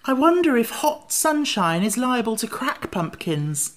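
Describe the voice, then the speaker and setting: Woman doing a nervous pumpkinhead guy, polite n simple, storybook voice pitched way up. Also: expressive, slow. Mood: anxious. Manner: earnest, worried. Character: anxious, simple, polite male pumpkin-headed man. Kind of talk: audiobook character voice.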